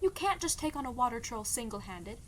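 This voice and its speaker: A woman's voice: a little high, sing-song, brisk, crowd noise. A woman playing a guarded, exasperated young princess in an audio drama, with a blunt, dry, doubtful way of talking. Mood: resigned, dry. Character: skeptical, exasperated young princess fleeing arranged marriage, guarded. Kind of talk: scripted audio drama acting.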